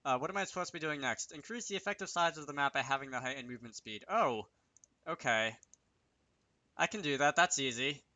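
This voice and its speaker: A man's voice: on the high side, brisk, sharp tone, lo-fi sound, soft background music. A man giving casual, unscripted commentary over a screen recording, hesitant and thinking aloud as he works. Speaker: game developer hosting a tutorial series.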